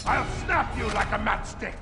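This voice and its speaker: A man's voice: high voice, lively intonation, moderate pace. A man playing a brutal, boastful strongman villain in a video game. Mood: menacing. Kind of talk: video game cutscene acting. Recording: loud game music behind.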